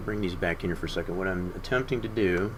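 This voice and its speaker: A man streaming his 3D modeling work, talking through each step in an unhurried way with many fillers, hoarse and often clearing his throat. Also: low-pitched, background noise. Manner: unhurried, filler-heavy thinking aloud. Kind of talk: casual screen-share tutorial.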